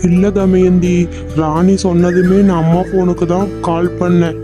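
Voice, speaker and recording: A performer voicing a worried, practical husband in a cartoon, speaking in a flat, plain manner with a Tamil accent. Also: mid-range voice, moderate pace, over music, lightly acted. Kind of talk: cartoon voice acting.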